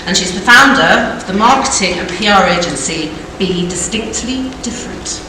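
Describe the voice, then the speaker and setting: A woman's voice: medium pitch, lively intonation, normal speed, very noisy. A woman host formally introducing the next speaker at a conference. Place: large conference hall. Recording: echoey hall from far off.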